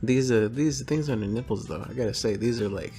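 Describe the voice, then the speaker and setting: A man's voice: medium pitch, brisk, mellow, faint music behind. A man talking casually as a co-host, laid-back and joking, reading entries aloud between remarks. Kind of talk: casual chat.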